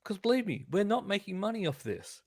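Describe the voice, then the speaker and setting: A man's voice: sits high, sing-song, brisk, darkish tone, breathy edge. A man co-hosting a podcast in casual chat, talking in an enthusiastic, rambling way. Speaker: podcast co-host.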